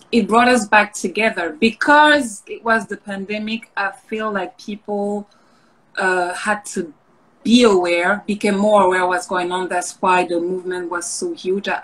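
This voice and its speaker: A woman's voice: medium pitch, a bit bright, rough recording. Lady, english, chatting as a guest on a video call, casual n reflective, mid voice. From Paris suburbs, France. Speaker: interview guest, DJ. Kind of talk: casual personal interview, reflective storytelling. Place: indoor room over a video call.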